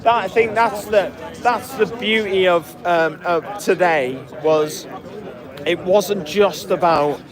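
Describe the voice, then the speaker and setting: A man with a notably high voice hosts a casual fan podcast. His voice is hoarse and keeps cracking. He wanders through his thoughts with plenty of fillers and false starts. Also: takes it slowly, a crowd in the background. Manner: rambling, many fillers and restarts. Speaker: podcast host. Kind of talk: casual post-match fan chat reading comments.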